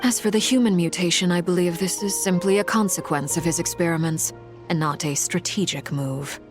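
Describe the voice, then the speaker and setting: Woman voicing low-pitched, composed female commander. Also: close to an everyday voice. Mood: grave explaining. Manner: formal, measured, dry, deadpan. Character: cool, composed, dryly condescending female Harbinger commander. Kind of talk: English video game voice acting. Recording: game music behind the voice.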